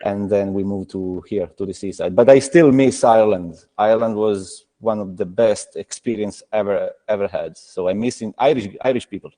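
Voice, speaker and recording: Halting man with reverb. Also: on the low side, dark tone. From Italy. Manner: halting, many restarts and fillers. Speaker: craft brewer, guest. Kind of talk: casual video call chat.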